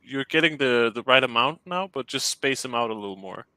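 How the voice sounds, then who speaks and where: A man's voice: medium pitch, animated, a little fast, pushed and bright, dry recording. A man with a Danish accent chatting over a call, hesitant and giggly, often restarting his sentences. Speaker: client commissioning a map. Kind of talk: casual chat.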